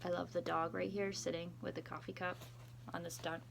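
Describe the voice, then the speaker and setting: A woman teacher reading a story aloud to children with expressive, deliberate phrasing. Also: fairly low pitch, creaky, noisy background.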